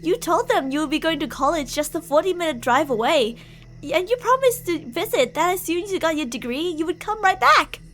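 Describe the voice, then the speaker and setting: A woman's voice: very high voice, lively intonation, fairly quick, fairly bright tone, soft background music. A woman playing an obsessive, delusional young female stalker and former bully in an ASMR roleplay, clingy and emotionally volatile. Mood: dejected, confessing. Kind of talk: ASMR roleplay, dramatic monologue to listener.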